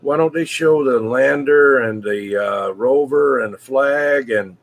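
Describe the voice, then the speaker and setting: A man in casual CB radio chat, talking in a folksy, rambling, hesitant way with frequent pauses. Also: mid-range voice. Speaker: CB radio hobbyist, video maker.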